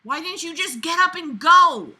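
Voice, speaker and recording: A woman's voice: extremely high pitch, lively intonation, normal speed, bright, forceful tone. A young woman reading a book aloud and breaking in with mocking, incredulous, sweary commentary in a theatrical, exasperated manner. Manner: mocking, sarcastic. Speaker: host of a comedic book read-along.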